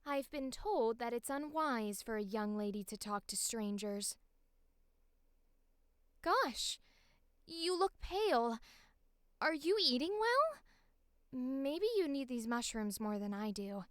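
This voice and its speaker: Woman doing a teen farm girl for a video game, polite but curt, bright and kinda high, very dry sound. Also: very animated, slow pace, pauses often, clean tone, no room sound, exaggerated voice. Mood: gently concerned. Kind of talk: video game audition line reading.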